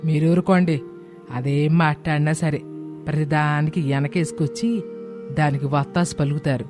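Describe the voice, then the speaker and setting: A man's voice: on the high side, fairly even intonation, normal speed, close to an everyday voice. A man voicing a stubborn, scornful mother-in-law in a cartoon moral story, taunting and commanding. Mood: scornful, challenging. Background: background music.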